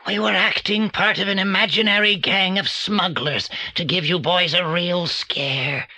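An actor with a British accent playing a reclusive, secretive old film actor in a children's audio drama, deliberate and theatrical. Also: male voice, sits high, steady tone, bright, forceful tone, dry recording, exaggerated voice. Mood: grave.

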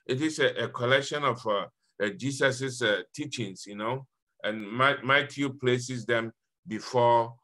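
Bright-voiced Ghanaian priest. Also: male voice, on the low side. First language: Fanti. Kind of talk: informal scripture reflection to a group. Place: indoor room, on a group video call. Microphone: video call.

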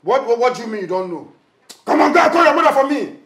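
A man's voice: very high-pitched, very animated, quick pace, fairly bright tone, full character voice. A man playing a husband who grumbles about his uncovered food, stammering and repeating himself when upset. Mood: angry. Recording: roomy echo, faint music.

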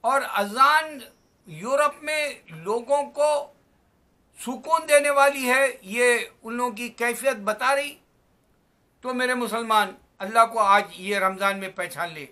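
A man, giving an emphatic, exhortatory religious talk to camera in measured phrases. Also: extremely high pitch, pushed and bright. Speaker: religious vlogger. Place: small indoor room at home. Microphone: webcam or phone at arm's length.

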